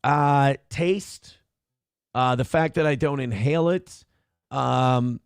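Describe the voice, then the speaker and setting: A man's voice: medium pitch, slow pace, creaky, clear, resonant tone, no room sound, clean studio sound. A man in his late thirties co-hosting a casual morning talk show. He chats loosely, swears freely and corrects himself mid-sentence. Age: 30s. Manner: animated, swearing, self-correcting. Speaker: co-host. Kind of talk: casual chat.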